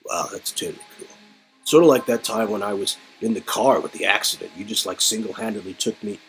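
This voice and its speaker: A man's voice: fairly low pitch, fairly quick, soft background music, poor audio. A man co-hosting a read-along of a story game, switching between narration and playful, slangy, casual banter. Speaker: co-host reading a story game aloud.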